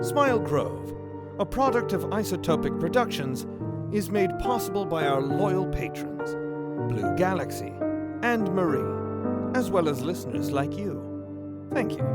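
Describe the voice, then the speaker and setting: Credits announcer, scripted podcast read, prominent guitar bed under the voice. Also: mid-range voice. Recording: loud guitar music underneath. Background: guitar music.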